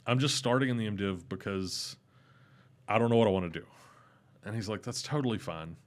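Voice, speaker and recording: A young adult man with a loud, carrying voice, telling stories casually and animatedly as a podcast guest. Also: brisk. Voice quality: loud voice that carries. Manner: animated, rising in pitch when excited. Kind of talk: casual storytelling interview.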